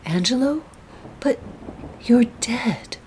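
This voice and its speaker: Radio noir actress: betrayed wife, breathy, slow, very expressive, pleading, with faint background noise. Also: female voice, a little high, poor audio. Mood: shocked. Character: frightened, pleading betrayed wife of a wealthy man. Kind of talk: noir radio melodrama acting.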